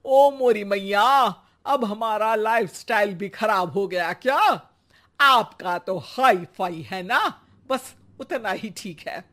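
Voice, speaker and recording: A middle-aged cartoon mother-in-law, acted by a woman, lazy and irritable. She grumbles sarcastically at an unhurried pace, always easily riled. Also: mid-range voice, expressive, a bit bright. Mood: aggrieved.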